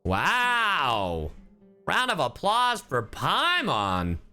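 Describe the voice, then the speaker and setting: Man, casual game streamer, very lively swings in pitch, playful, exclamatory, game music behind. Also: extremely slow, clear, resonant tone. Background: video game music.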